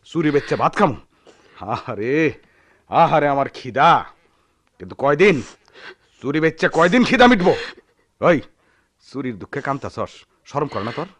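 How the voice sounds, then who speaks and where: An actor playing a poor sharecropper husband, tender but hot-tempered, speaking rural Bangladeshi dialect in a theatrical radio drama manner. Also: male voice, medium pitch, no echo. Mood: angry, threatening. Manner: theatrical, swinging from murmurs to shouts.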